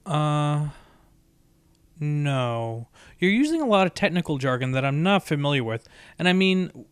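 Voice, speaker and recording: Man reading as a bookish, cautious unicorn mare, lively pitch. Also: a little slow, clean tone, no room sound. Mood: confused. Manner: precise, formal wording with hesitations. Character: bookish, cautious female unicorn pony, polite and hesitant.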